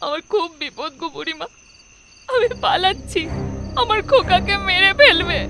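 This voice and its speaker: A woman's voice: very high-pitched, animated, moderate pace. A frightened young mother. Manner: fearful, pleading. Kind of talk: animated horror story dub. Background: loud background music.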